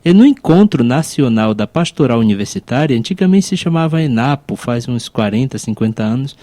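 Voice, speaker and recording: A man's voice: mid-range voice, dark tone, dry recording. A man with a Brazilian accent speaking as a priest and podcast host, explaining things reflectively in a conversational way. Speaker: priest, podcast co-host and university chaplaincy advisor.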